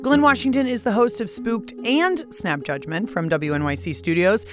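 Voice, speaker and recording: A woman's voice: medium pitch, moderate pace, over music. An American woman speaking as a podcast host, with a playful, jokey way of narrating and interviewing. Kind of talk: scripted radio narration and interviewing.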